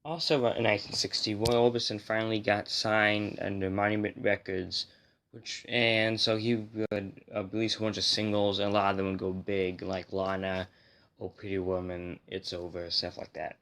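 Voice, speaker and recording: Male video host, lower register, slightly hoarse from a cold, informal and rambling explainer delivery. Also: little pitch movement, moderate pace, a bit bright. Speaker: host of a music history video series. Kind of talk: casual explainer talk to viewers.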